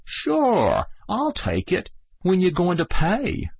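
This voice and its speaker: A man voicing a naive, slow-witted young American soldier in a read-aloud story. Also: high-pitched, wildly swooping pitch, creaky, husky, no room sound, pitched above the performer's own voice, exaggerated voice. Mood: doubtful. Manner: plain, slow-witted, wondering. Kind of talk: audiobook reading.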